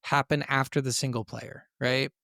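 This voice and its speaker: A man hosting a casual gaming podcast, telling stories in an animated, conversational way. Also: medium pitch, moderate pace, creaky, no room sound, studio quality. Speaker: podcast host.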